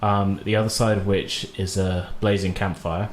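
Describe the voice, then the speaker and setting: A man's voice: deep voice, flat delivery, moderate pace. A man running a tabletop game, improvising descriptions in a loose, colloquial, hesitant way. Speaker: game master. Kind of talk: improvised tabletop game narration and casual chat. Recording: roomy echo, faint background noise.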